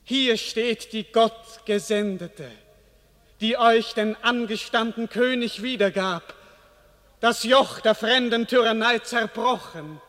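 A man's voice: very high-pitched, takes it slowly, a bit bright, heavily acted. A solemn king in a vast hall. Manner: solemn, ceremonial proclamation. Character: a regal king addressing his people. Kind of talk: classic verse radio drama. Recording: long reverb, as in a cathedral.

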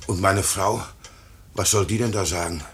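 An actor in a 1950s radio play voicing a broken foreman in his fifties, halting, stammering and repeating himself. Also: low voice, a little hiss or hum, close to an everyday voice. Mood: desperate pleading. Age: 50s. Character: broken, pleading 58-year-old aircraft works foreman.

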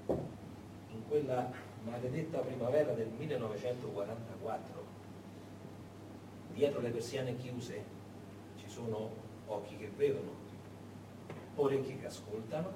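A man's voice: medium pitch, measured pace, long pauses. A man narrating as a stage actor, in a dramatic, emphatic and suspenseful storytelling style. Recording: echoey hall, distant mic, clear background noise.

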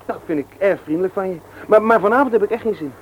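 A man's voice: high voice, fast, close to an everyday voice. An actor playing an evasive, anxious young man who stammers. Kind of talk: Dutch radio play acting. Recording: noisy old radio play recording.

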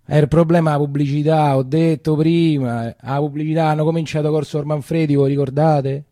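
Mellow, grumbling Roman shopkeeper, played by a man. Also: on the high side, fairly even intonation, fairly quick, no echo, clean studio sound, close to an everyday voice. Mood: chatty, grumbling. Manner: rambling, colloquial, repeats himself. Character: weary, chatty working-class Roman coffee-shop keeper, grumbling and self-pitying. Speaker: stage actor. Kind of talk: theatrical monologue for radio.

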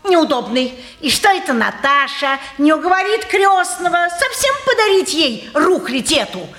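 Бойкой голосистой мещанки лет сорока пяти, высокий напевный голос. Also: female voice, high-pitched, sing-song, normal speed, fairly bright tone. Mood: folksy, lamenting. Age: middle-aged. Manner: sing-song folksy patter with rhymes and pious sayings. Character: brisk, folksy, sly townswoman of about forty-five. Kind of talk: mid-century Russian stage acting. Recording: roomy 1950s theatre radio recording.

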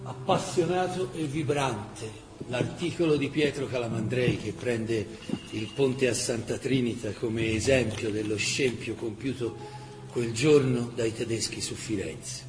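A man's voice: medium pitch, unhurried, low-quality recording. A man narrating a scripted radio drama in an impassioned, emphatic, literary way. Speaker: actor narrator.

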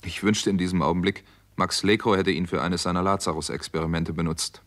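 A man's voice: sits low, fairly even intonation, brisk, fairly bright tone. A man acting as a doctor hero who tells a crime story in a dry, controlled way, in a 1960s German radio play. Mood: sober narration. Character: male doctor and hero narrating a 1950s Hollywood crime story.

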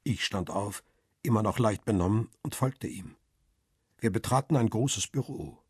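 An actor playing a wary middle-aged paparazzo who tells his own story in a radio crime drama, husky and terse. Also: lowish voice, measured pace, no echo, clean studio sound, heavily acted. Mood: uneasy. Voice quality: husky, rough. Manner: reflective, terse first-person narration.

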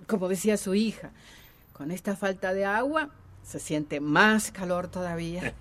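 A woman's voice: on the low side, a little slow, fairly bright tone. An actress playing a friendly village neighbour woman in a radio drama. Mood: polite.

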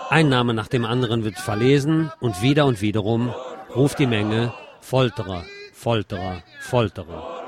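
A man narrating a street protest report as a radio reporter. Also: mid-range voice. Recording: crowd noise behind the voice. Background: protest crowd and street sounds.

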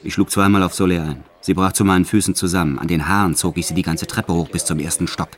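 Radio play lead: male actor as brutal career criminal confessing matter-of-factly, extremely low voice. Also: steady tone, fast talker, natural voice. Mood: menacing, enraged. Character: violent male career criminal confessing his life, brutal yet tender. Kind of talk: first-person radio play narration.

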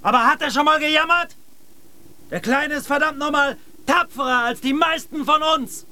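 A man's voice: very high-pitched, moderate pace, pushed and bright, a little hiss or hum, heavily acted. A man playing a blunt, protective polar explorer who scolds his comrade in a German radio drama. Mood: indignant, scolding. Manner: blunt, reproachful.

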